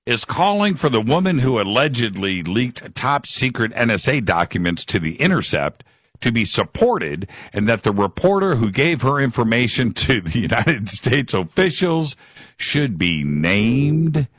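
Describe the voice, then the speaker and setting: A man's voice: mid-range voice, big pitch swings, unhurried, fairly bright tone, no echo. A man hosting a live call-in radio show, enthusiastic and rambling, repeating words and leaning on fillers.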